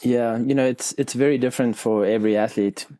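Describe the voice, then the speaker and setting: A middle-aged man from South Africa, a cycling coach telling his background in a relaxed podcast interview, with many filler ums and uhs. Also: mid-range voice, a little fast, a little hiss or hum.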